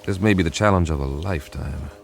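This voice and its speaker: Deep, gravelly, intent code expert. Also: male voice, fast talker, faint music behind. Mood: intrigued, determined. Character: intent male code expert, thoughtful, determined. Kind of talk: radio drama acting.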